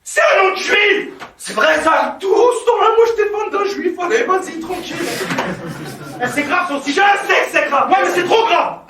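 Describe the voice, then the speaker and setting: An actor on stage playing an excitable young man who rants loudly and frantically. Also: extremely high pitch, normal speed, a little hiss or hum, heavily acted. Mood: agitated. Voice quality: loud, frantic. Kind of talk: stage acting. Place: theater stage. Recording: echoey stage from far off.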